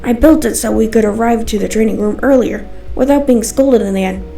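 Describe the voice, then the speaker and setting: A woman's voice: on the high side, steady tone, a little fast, gravelly, mellow, far from the mic. A woman narrating a scripted first-person story as its storyteller. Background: music.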